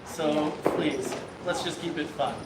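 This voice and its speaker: A man's voice: on the high side, steady tone, unhurried. A man speaking as a new prince at a court, friendly and encouraging in a light way. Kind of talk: ceremonial remarks and casual exchange. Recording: distant mic in an echoey hall with noise.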